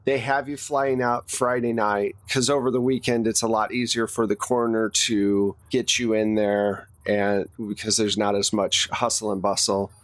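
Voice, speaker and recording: A man's voice: lowish voice, little pitch movement, moderate pace, hardly any pauses, dry recording. A man runs a tabletop roleplaying game, laying out scenes in a conversational, informal way. He explains details as a game master would. The sound is dry, with little room around the voice.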